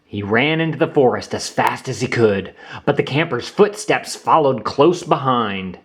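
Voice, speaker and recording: A man's voice: mid-range voice, animated, a little slow, fairly bright tone. A man narrating a children's picture book, reading aloud expressively with theatrical emphasis for young listeners.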